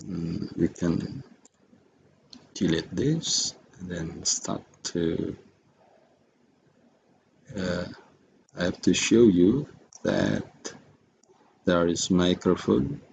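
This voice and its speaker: A man with an Indonesian accent walks through a software tutorial in a low, creaky voice. He speaks haltingly and at a slow pace, feeling his way from step to step. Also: animated, muffled recording, a little hiss or hum. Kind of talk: unscripted screen-recorded tutorial.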